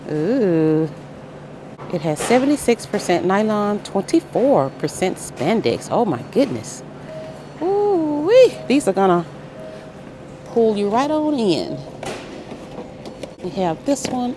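A woman strolls the aisles of a big store, calling out items and prices at an unhurried pace with a lively, swooping voice. Her phone picks up steady store noise around her. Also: medium pitch. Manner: upbeat, listing items and prices with little exclamations. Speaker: shopping vlogger. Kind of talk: shop-with-me price walkthrough. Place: aisles of a large grocery store, indoors. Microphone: handheld phone camera.

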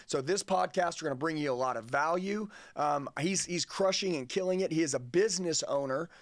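A man's voice: fairly high pitch, brisk, dry recording. Punchy American man hosting, dry studio sound. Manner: emphatic, slangy, punchy. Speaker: podcast host, sales trainer. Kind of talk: motivational interview chat. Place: small padded podcast studio. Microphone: boom-arm studio microphone.

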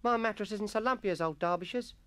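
A man's voice: high voice, fast talker, a bit bright, clear, resonant tone, dry recording, voice pushed up. A man voicing a well-mannered, solicitous young English schoolboy who speaks sincerely in a story reading. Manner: polite, sincere, boyish.

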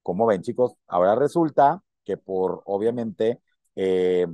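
A man's voice: fairly low pitch, lively intonation, measured pace, warm tone, no echo. A man in his thirties with a Mexican accent, hosting a casual celebrity gossip show and giving opinions, often repeating words as he searches for the next one. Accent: Mexican Spanish. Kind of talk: casual gossip commentary to camera. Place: indoor room with plants behind. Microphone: earphone mic, webcam framing.

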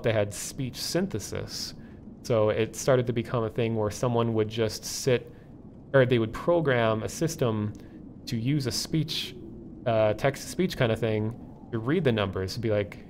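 A man hosting a livestream, explaining things casually and off the cuff in a halting, thinking-aloud way. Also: medium pitch, a little hiss or hum. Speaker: livestream host. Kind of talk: casual live explainer, unscripted.

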